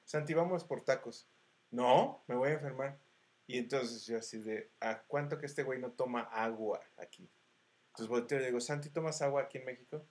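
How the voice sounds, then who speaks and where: A man's voice: mid-range voice, normal speed. A man with a Mexican accent telling anecdotes in casual, slangy podcast chat. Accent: Mexican Spanish.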